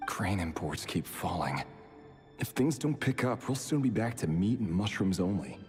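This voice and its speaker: An actor voicing a dry, grumbling man reading his private diary in a video game, in a measured, wry manner. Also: low-pitched, very animated, a little mellow. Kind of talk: video game voice-over monologue. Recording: long echoing reverb effect. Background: game music.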